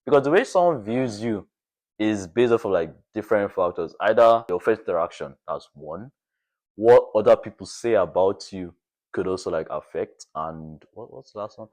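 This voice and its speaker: Young man, deep low pitch, podcast guest, casual chat, anecdotal, halting delivery. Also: lively intonation, darkish tone. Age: young adult.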